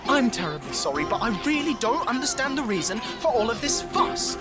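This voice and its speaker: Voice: mid-range voice, very animated, fairly quick, natural voice. An actor voicing a polite, fussy young marten, easily flustered and old-fashioned in phrasing, in an audio drama. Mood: flustered, apologetic. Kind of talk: audio drama character acting. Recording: loud music underneath.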